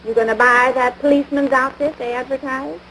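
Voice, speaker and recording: A woman's voice: sits high, steady tone, brisk, a bit bright. An actress playing a crabby small-town American housewife and mother in a domestic comedy, nagging in folksy, scornful talk. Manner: folksy ungrammatical speech, scornful, exasperated. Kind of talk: domestic radio-style situation comedy. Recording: noisy vintage broadcast recording.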